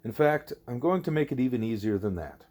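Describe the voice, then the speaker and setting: A man voicing a wise, patient gorilla teacher in an audiobook, deliberate and didactic, leaning on key words. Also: mid-range voice, animated, darkish tone, close to an everyday voice. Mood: patient, instructive.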